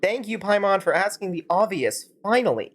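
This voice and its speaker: A woman's voice: mid-range voice, animated, moderate pace. A woman streamer improvising rambling, sarcastic commentary and role-play while playing a game. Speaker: streamer fan-dubbing a game.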